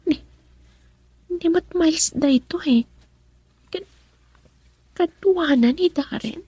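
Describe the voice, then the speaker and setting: A woman's voice: extremely high pitch, takes it slowly, warm tone, no echo. A woman acting an anxious young daughter in love in a radio drama, plaintive, with a Filipino accent. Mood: uneasy.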